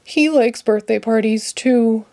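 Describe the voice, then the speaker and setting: A woman's voice: a little high, takes it slowly, mellow. A woman voicing an earnest, plaintive, childlike male puppet from a children's storybook. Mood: wistful. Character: earnest, childlike male puppet who loves his bath toy.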